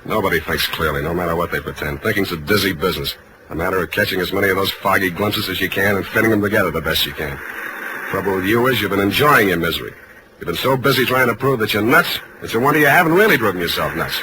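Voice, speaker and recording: An actor playing a blunt, tough, wisecracking private detective in terse 1940s radio drama style, on a noisy old recording. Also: male voice, deep voice, expressive, fast. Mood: brusque. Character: hard-boiled, blunt private detective, a grown man.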